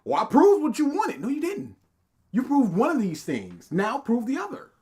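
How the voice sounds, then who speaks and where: A young man co-hosting a casual reaction commentary, laughing often and breaking into loud outbursts. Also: very high-pitched, wildly swooping pitch, normal speed, slightly rough. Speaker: co-host reacting to a video.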